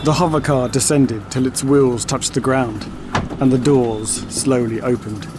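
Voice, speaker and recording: A man giving scripted, dramatic narration for an audio story in a measured way. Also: medium pitch, steady tone, background noise. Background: music and sound effects.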